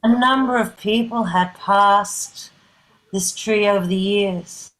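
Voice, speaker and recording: A woman narrating a play in a dramatic scripted style, breaking it into short, deliberate phrases. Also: medium pitch, a bit bright, low-quality recording.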